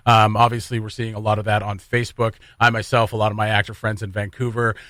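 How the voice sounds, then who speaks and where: A man's voice: fairly low pitch, level tone, quick pace, fairly bright tone, no room sound, clean studio sound. A man hosting a casual radio show in an upbeat, chatty way. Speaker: radio morning show host. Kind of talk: casual radio chat and interview. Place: radio studio.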